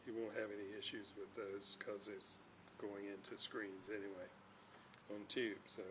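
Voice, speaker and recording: A man giving an unscripted hands-on repair demonstration, talking in a halting way as he thinks aloud. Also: on the low side, flat delivery. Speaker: host, electronics restorer. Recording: clear background noise.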